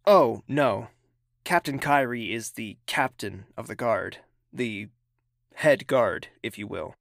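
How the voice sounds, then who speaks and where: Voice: medium pitch, takes it slowly, gravelly, fairly bright tone, dry recording, studio quality. An actor playing a haughty, impatient young royal prince in an audio drama, clipped and condescending. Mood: relaxed, familiar.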